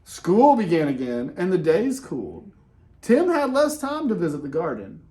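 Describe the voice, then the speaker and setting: Animated, higher-pitched man reading a storybook. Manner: expressive, with dramatic pauses and emphasis. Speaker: storyteller reading a children's picture book. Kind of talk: animated read-aloud with casual asides.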